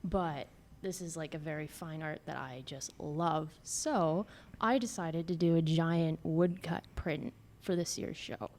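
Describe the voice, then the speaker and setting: A young woman, a graduating student, talking earnestly and quickly in a casual interview. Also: low-pitched, darkish tone.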